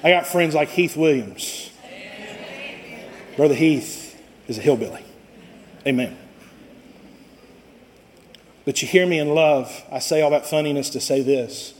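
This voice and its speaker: A man preaching a passionate sermon as pastor, swinging from slow, low stretches to loud cries. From Costa Rica. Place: church sanctuary. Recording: long reverb of a church sanctuary.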